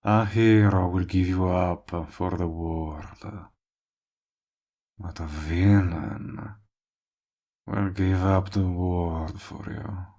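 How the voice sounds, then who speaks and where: A low, slow-talking villain played by a male voice actor courts the listener in a romantic audio drama. He is smug and possessive, with a creaky, gravelly edge. His lines are wry and smooth-talking, with words drawn out for emphasis. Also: fairly even intonation, warm tone, heavily acted. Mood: flirty, boastful. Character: smug lovestruck villain, possessive, persistent, wryly comic man. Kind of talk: romantic comedy audio roleplay addressed to listener.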